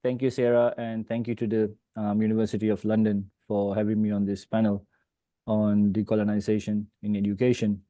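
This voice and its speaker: A man who grew up in Australia, introducing himself as a panellist in a halting way, repeating the openings of his phrases. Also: on the low side, level tone, warm tone, dry recording. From Australia, born in Sri Lanka. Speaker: panellist, swimming coach. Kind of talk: hesitant self-introduction on a panel. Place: online video meeting.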